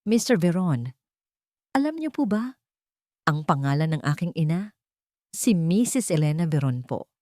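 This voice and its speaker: A woman's voice: low-pitched, very animated, measured pace, long pauses, a little mellow, no room sound, studio quality. A woman voicing an anxious, respectful young female caregiver with a polite, hesitant manner in an audio drama. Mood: nervous, hesitant. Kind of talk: dramatic audio story dialogue.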